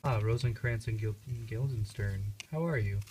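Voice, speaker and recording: A man acts out a grieving, bitter young prince for a homemade puppet show. His voice sits low. The recording is amateur, with some noise behind it. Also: normal speed, a little mellow, close to an everyday voice. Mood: composed.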